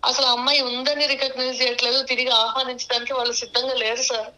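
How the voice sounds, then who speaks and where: Young female caller, high sharp voice, pleading and deferential, tells her story earnestly. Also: little pitch movement, racing, rough recording. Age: young adult. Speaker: caller seeking advice. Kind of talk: earnest personal account.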